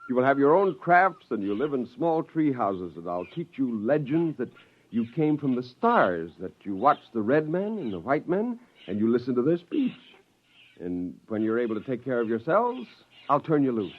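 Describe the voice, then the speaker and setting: An American actor playing a middle-aged, gleefully eccentric scientist in a theatrical 1950s radio drama. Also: male voice, medium pitch, sing-song, moderate pace. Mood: gleeful, scheming. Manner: theatrical, chuckling, self-amused. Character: eccentric, gleeful scientist plotting a joke on mankind. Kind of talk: 1950s radio drama acting with first-person narration. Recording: dull, lo-fi 1950s radio recording.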